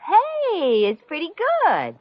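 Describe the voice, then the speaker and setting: A woman's voice: high voice, huge pitch swings, takes it slowly, dry recording, full character voice. An actress playing a brassy, good-hearted, wisecracking young woman in 1950s radio comedy style, breezy and slangy. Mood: amused, astonished. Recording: dull old-time radio recording.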